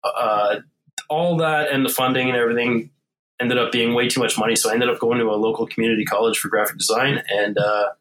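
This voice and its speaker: A man's voice: medium pitch, fairly even intonation, brisk, fairly bright tone, poor audio. A man in his forties, talking as a podcast guest in a loose, rambling way with many fillers and restarts. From Canada. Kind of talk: casual interview conversation.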